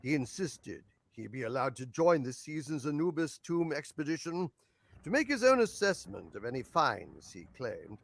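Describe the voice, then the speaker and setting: A man voicing a weary, grave older gentleman who runs a museum, for an audiobook. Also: on the high side, sing-song, moderate pace, gravelly, dry recording, pitched above the performer's own voice, heavily acted. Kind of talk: audiobook character voice.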